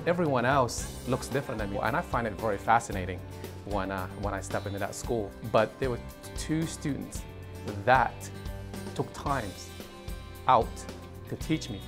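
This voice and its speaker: Young guy from cambodia, low-ish voice, earnest, guitar music under him. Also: lively intonation, moderate pace. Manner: earnest, slightly uneven grammar. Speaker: interviewee. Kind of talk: personal interview.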